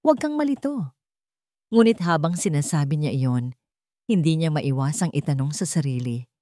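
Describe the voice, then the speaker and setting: A woman's voice: low voice, mellow, dry recording. Filipino woman narrator, dry close sound. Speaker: story narrator. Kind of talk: scripted dramatic story narration.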